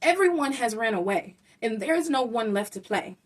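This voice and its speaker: A woman voicing a selfish, stubborn little boy creature in a children's storybook, loud and possessive in a childish way. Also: a little high, expressive, normal speed, distant mic. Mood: sad. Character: selfish, stubborn young boy creature who grabs toys.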